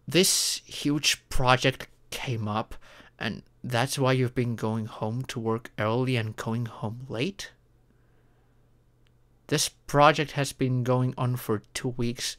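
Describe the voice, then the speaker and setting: A voice actor plays a hurt, frustrated partner in an intimate ASMR roleplay. The delivery is slow and emotional, with a mid-pitched voice that swings widely as they plead and care. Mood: concerned, probing. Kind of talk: intimate ASMR roleplay voice acting, one side of dialogue.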